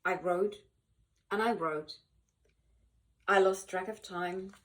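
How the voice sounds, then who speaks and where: A woman reading a children's picture book aloud in an animated, expressive way, with dramatic pauses and emphasis. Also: mid-range voice. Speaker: volunteer children's book reader.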